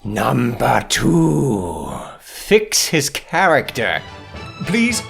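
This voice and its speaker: A man's voice: mid-range voice, wildly swooping pitch, very slow, vocal fry. A man delivering scripted, humorous video game commentary in a theatrical, sardonic way, full of flowery rhetorical questions. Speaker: video essayist, narrator. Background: background music.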